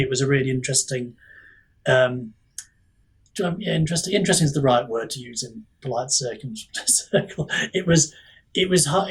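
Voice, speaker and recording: A man with a husky voice talking candidly and at length as an author guest in a casual chat. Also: medium pitch.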